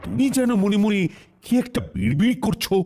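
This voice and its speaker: A gullible cartoon king, easily alarmed and easily soothed. His voice is high and gravelly with big swings of pitch, exclaiming and repeating words. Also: lively intonation, brisk, darkish tone, faint music behind, full character voice. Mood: worried.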